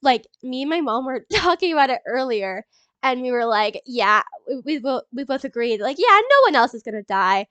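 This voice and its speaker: A young woman with a stuffy nose from a cold, rambling excitedly in a casual movie reaction vlog, full of ums and little laughs. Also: high voice, normal speed, clean tone, no room sound, dull audio. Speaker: YouTuber.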